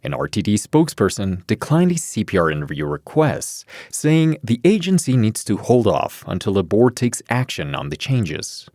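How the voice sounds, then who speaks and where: A man's voice: on the low side, sing-song, moderate pace, hardly any pauses, darkish tone. A man hosting a news podcast, moving between scripted narration and questions to a reporter.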